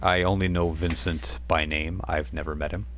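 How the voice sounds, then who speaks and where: A man's voice: deep voice, little pitch movement, normal speed, fairly bright tone, slight background noise. A man running a tabletop role-playing game on a live stream, rambling with frequent restarts as he narrates. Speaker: game master.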